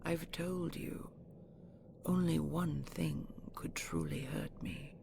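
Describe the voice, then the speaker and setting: Woman voicing a menacing female dragon, seductive audio roleplay, heavy creaky growl in the voice, half-whispered, imperious, coaxing. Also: low voice, steady tone, heavily acted. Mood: dismissive, proud. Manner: slow, doting, imperious, coaxing. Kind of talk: intimate audio roleplay acting.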